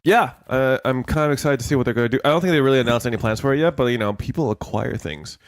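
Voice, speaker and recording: A man's voice: medium pitch, racing, no echo, clean studio sound. A young man co-hosting a casual gaming podcast, sounding congested and rambling with fillers and swearing. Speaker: podcast co-host.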